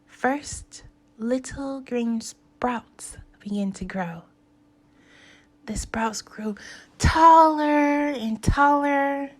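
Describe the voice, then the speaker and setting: A woman teacher reading a children's story aloud, with deliberate phrasing and pauses for young learners. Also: a little high.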